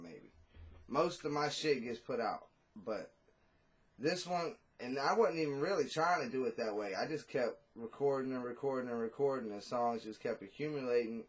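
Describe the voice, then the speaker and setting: A man in his thirties, a rapper talking casually and bluntly in an interview, cursing freely, on a video call from a small room at home. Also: medium pitch. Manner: blunt, profane, laid-back.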